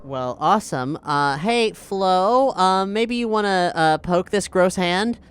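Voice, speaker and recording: A man's voice: high-pitched, lively intonation, takes it slowly, a bit bright, clear, resonant tone. A man playing a tabletop game in a casual, easygoing way, speaking for his character and joking along. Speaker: player.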